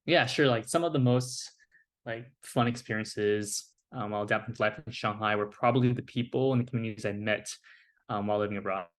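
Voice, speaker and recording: A young man with an American accent and a fairly low voice talks as a podcast guest. His delivery is casual and easygoing, scattered with fillers as he thinks aloud. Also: brisk. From United States. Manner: relaxed, filler-heavy speech with many "like" and "you know". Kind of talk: casual conversational interview.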